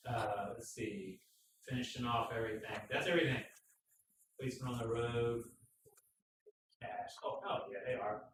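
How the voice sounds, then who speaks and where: A man co-hosting a casual motorcycle live stream, joking and teasing with loud bursts. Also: medium pitch, moderate pace, long pauses, slight background noise. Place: garage. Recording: echoey garage, far from mic.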